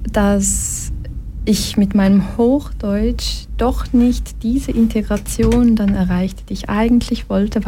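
A woman's voice: mid-range voice, warm tone, slight background noise. A young woman from Brazil giving a personal talk to an audience, earnest and reflective, at one point reading aloud from her childhood diary.

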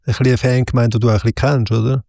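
A man's voice: mid-range voice, level tone, rapid-fire, gravelly, mellow, no room sound, clean studio sound. A man with a Swiss German accent interviewing a musician in a casual chat, often ending his points with a questioning "oder?". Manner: chatty, ends points with "oder?".

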